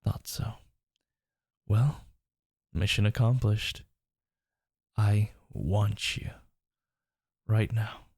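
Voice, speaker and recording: A man's voice: fairly low pitch, fairly even intonation, drawn-out words, long pauses, darkish tone, slightly rough, no echo, clean studio sound, heavily acted. A man playing a smitten, teasing workplace rival turned lover in an intimate romantic audio roleplay, murmuring tenderly and breathily. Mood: seductive, teasing.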